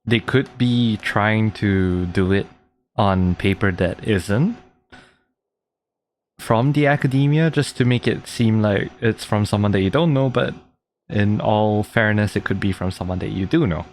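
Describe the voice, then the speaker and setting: A man's voice: fairly low pitch, measured pace, a little hiss or hum. A man giving casual, chatty live commentary as he reacts to a story-driven video game. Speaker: streamer playing a story game.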